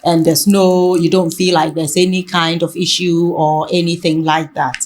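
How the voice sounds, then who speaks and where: A woman talking reflectively in conversation, haltingly and often rephrasing mid-sentence. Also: lowish voice, little pitch movement, darkish tone, a little hiss or hum. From Ghana.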